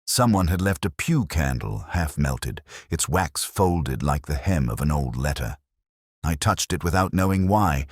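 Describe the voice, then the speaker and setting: A man narrating a scripted mystery as a reflective, sceptical police detective, in a measured, formal, introspective manner. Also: extremely low pitch, dry recording, clean studio sound, heavily acted. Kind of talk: scripted first-person mystery narration, literary.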